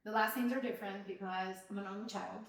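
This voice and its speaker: A woman's voice: medium pitch, fairly even intonation, quick pace. A woman from Albania hosting a podcast, telling her childhood story in a reflective, casual way with many fillers. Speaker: podcast host, mother of co-host. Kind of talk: casual personal conversation. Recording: roomy, distant from the mic.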